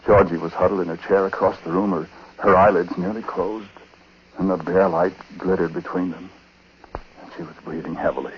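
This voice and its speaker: A man playing a haunted, condemned husband telling his story haltingly and dramatically in a 1940s radio drama. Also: low voice, little pitch movement, close to an everyday voice. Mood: haunted, tense. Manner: hushed, halting, dramatic first-person telling. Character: haunted condemned husband recounting his wife's uncanny death. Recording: noisy, muffled 1940s radio broadcast.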